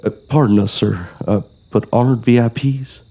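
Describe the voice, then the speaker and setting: An actor playing a guarded, determined thief in an audio drama, with a terse, muttering delivery. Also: male voice, mid-range voice, moderate pace, dark tone, natural voice. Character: tense, desperate male thief, guarded and determined.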